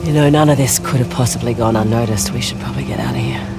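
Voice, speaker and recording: A woman's voice: very deep voice, fast talker, loud music behind the voice. A woman playing a cocky, tough, wisecracking treasure hunter in a video game, wry and casual. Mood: wry, easygoing. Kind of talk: cinematic video game acting.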